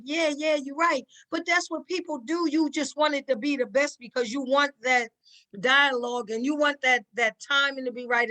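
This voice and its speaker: A woman's voice: sits high, sharp tone. A woman speaking as an interview guest, conversational and emphatic, acting out little exchanges as she explains. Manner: emphatic, acts out quoted exchanges. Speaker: cast member, interview guest.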